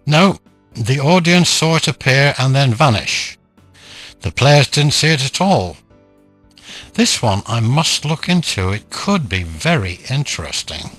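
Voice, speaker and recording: A sceptical, self-assured ghost hunter played by a man in an audio play. He muses deliberately to himself, his mid-pitched voice moving widely up and down, with faint music behind. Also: sing-song, a bit bright, slightly rough, heavily acted. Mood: intrigued.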